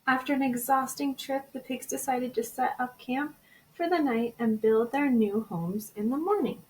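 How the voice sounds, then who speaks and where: A woman teacher reading a children's storybook aloud in an expressive way. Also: sits high, normal speed, poor audio. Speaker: elementary school teacher.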